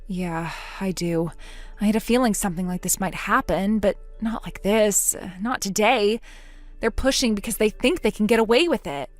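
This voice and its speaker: A woman's voice: normal speed, faint music behind, natural voice. A woman voicing a determined older sister who speaks firmly and steadily. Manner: firm, steady, lower-voiced.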